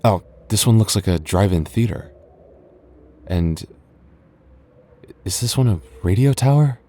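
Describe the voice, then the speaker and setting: A man plays a gentle goth boyfriend with a low-pitched voice. He talks in a musing, hesitant way, as if to someone close beside him in an intimate audio roleplay. He comes across as sentimental and tender. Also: expressive, measured pace. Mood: puzzled, searching. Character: gentle, sentimental goth boyfriend lost in endless haunted street.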